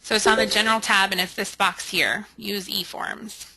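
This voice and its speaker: A woman's voice: medium pitch, steady tone, normal speed, bright, forceful tone, dry recording, rough recording. A woman leading an informal live training webinar, reading out and answering questions candidly while thinking aloud. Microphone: conference call or webinar audio.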